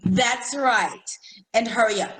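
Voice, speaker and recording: A woman playing a brisk, pompous cosmetics saleswoman in old-time radio comedy style, recorded in an echoey room. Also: fairly bright tone. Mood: exasperated. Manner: showy, deliberate pitchwoman delivery.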